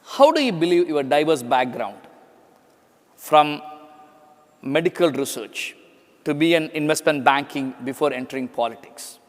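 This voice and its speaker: A man's voice: a little high, animated, a little slow, pauses often. A man hosting an on-stage talk, formally reading out a guest's titles and asking questions. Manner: formal, reads titles in a list. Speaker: event chairman and host. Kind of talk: formal introduction and interview questions. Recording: reverberant hall with faint music.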